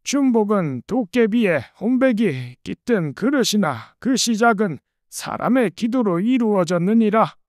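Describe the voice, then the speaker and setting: A woman's voice: mid-range voice, no echo, clean studio sound. A woman voicing a serene, sage old male monk's spirit who speaks in solemn, archaic pronouncements in a folk tale. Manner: solemn, oracular, archaic speech. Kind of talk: dramatized Korean folk tale dialogue.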